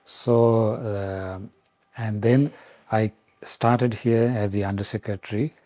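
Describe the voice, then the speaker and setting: Halting low-voiced man from Sri Lanka. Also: steady tone, darkish tone, no echo. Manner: halting, many fillers and restarts. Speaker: Vatican official interviewed. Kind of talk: reflective interview answers.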